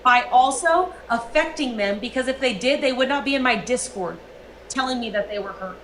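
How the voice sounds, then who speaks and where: A woman's voice: fairly high pitch, normal speed, fairly bright tone, reverberant, slight background noise. A woman livestreamer delivering a profane, emphatic rant.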